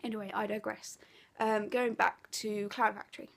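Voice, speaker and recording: A woman's voice: mid-range voice, flat delivery, normal speed, gravelly. A woman with an Essex accent and a blocked, stuffy nose, chatting casually to camera as a craft vlogger, rambling with lots of ums and self-corrections. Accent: Essex English. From England.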